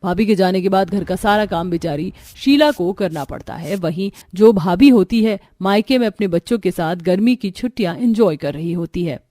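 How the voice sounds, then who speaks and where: A woman reading scripted narration for an animated family story. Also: medium pitch, moderate pace, few pauses, dry recording, clean studio sound.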